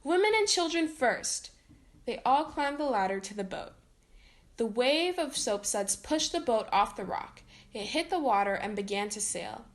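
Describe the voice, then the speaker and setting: A woman reading a children's story aloud in an expressive way, acting out the dialogue. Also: fairly high pitch, moderate pace. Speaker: storybook reader.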